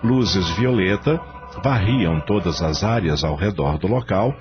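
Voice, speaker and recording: A man's voice: sits low, lively intonation, unhurried, dull audio. A Brazilian man narrating a radio novel in a dramatic scripted style. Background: music.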